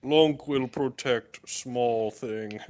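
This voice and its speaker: A man's voice: medium pitch, very slow, darkish tone, pitched below the performer's own voice. A man voicing a simple, protective soldier who talks in blunt short phrases. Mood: slow, earnest. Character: big simple slow-witted protective male squad soldier.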